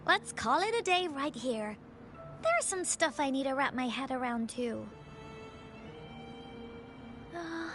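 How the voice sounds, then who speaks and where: A woman voicing a spirited, imaginative little girl storyteller in a video game, with a bubbly, childlike and expressive delivery. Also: sits high, full character voice. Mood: playful, encouraging.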